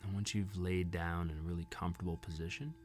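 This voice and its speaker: A yoga instructor guiding a sleep meditation in a soothing, unhurried way with long pauses. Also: low voice, steady tone, a little mellow, faint music behind. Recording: singing bowl tones over the voice. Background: singing bowls and sound bath tones.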